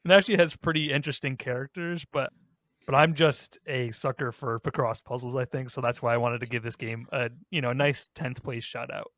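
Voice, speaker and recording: A man's voice: mid-range voice, fairly even intonation, fairly quick, fairly bright tone, dry recording. Man chatting casually, dry close sound. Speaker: podcast co-host. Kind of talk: casual chat about games.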